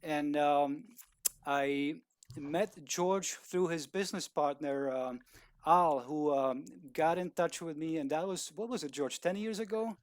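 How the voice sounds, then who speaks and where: A man with a Czech accent speaking as a guest on a video call, polite and halting, with many fillers and restarts. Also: fairly high pitch. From Czech Republic. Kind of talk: casual talk on a video call.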